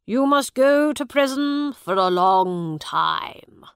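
A woman voicing a stern, pompous male judge with a gravelly voice in a storybook. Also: a little high, expressive, slow pace, dry recording, studio quality, voice pushed up, exaggerated voice. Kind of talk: storybook character dialogue.

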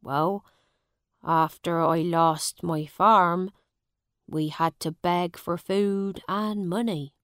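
A woman voicing a poor male farmer who slowly tells the sad story of his lost family. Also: fairly low pitch, steady tone, clean tone, no room sound, clean studio sound. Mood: sorrowful. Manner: slow, heavy storytelling.

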